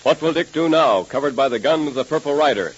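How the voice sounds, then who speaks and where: A man announcing a 1930s radio show and pitching its sponsor with emphatic delivery. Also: lively intonation, fast, slightly rough. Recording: muffled, hissy 1930s radio recording.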